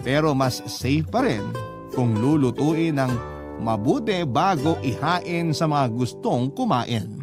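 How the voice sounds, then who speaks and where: Man, radio drama narrator, confiding storyteller, slightly high voice, guitar music bed. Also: lively intonation, takes it slowly. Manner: confiding storyteller addressing the host.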